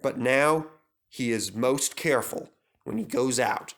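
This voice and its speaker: A man reading a children's story as scripted narration, expressive and dramatic. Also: medium pitch, a little slow.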